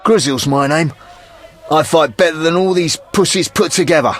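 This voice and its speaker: A man's voice: fairly high pitch, moderate pace, fairly bright tone, soft background music, a faint crowd, natural voice. A man voicing a boastful, belligerent thug of a fist fighter in a fantasy video game. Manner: aggressive, thuggish. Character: boastful, belligerent male brawler.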